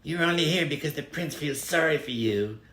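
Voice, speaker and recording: A man's voice: fairly high pitch, moderate pace, fairly bright tone, roomy sound, voice pushed up, natural voice. A man voicing a vain, spiteful young woman in a fairy tale, with an affected, snooty manner.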